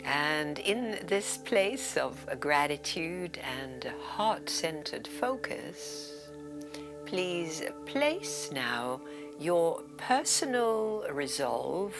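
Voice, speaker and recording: Slow, low-pitched woman guiding meditation. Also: sing-song, over music. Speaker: yoga nidra meditation guide. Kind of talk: guided meditation.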